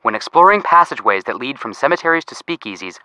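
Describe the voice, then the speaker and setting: A man's voice: medium pitch, big pitch swings, fast, pushed and bright, dry recording. A young man with an American accent playing a cheeky, casual amateur detective who jokes as he gives video game hints. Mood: cheeky, joking. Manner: joking, casual, slangy. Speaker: detective helper giving hints. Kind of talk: scripted video game voice acting.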